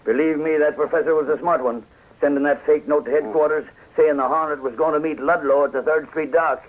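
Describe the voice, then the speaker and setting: An actor playing a confident middle-aged police sergeant in a 1940s radio drama. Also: male voice, on the high side, steady tone, fairly quick, close to an everyday voice. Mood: assured. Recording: dull 1940s radio recording.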